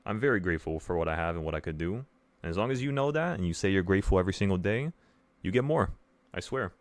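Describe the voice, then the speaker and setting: Deep-voiced young man chatting on livestream. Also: expressive, quick pace, dry recording. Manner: earnest, rambling, repeats phrases for emphasis. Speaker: livestream host, options trading teacher. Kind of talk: casual livestream chat to viewers.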